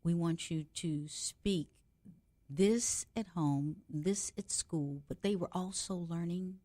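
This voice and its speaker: An older woman hosting a radio talk show, interviewing a guest in a chatty, reflective way with drawn-out emphasis. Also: lowish voice, warm tone.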